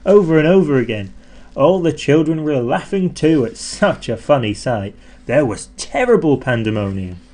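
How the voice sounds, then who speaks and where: A man narrating a children's story in an expressive, theatrical way, drawing out words for effect. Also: fairly high pitch, moderate pace. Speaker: audiobook narrator.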